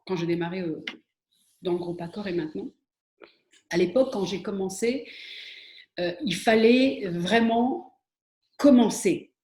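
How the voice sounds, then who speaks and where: A woman's voice: mid-range voice, measured pace, long pauses, darkish tone. French woman telling her career story, echoey room. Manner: hesitant, many fillers and restarts. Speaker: interview guest, spa and wellness expert. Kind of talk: conversational interview answer.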